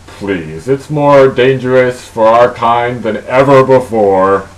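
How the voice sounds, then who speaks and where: A man voicing an earnest, stern superhero leader from a comic book, lecturing in a measured way. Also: medium pitch, close to an everyday voice, rough recording.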